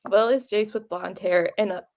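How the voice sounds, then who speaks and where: A woman's voice: mid-range voice, fairly even intonation, normal speed, a little mellow. A woman co-hosting a casual book discussion in a gushing, giggly manner. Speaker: co-host of a book readalong discussion.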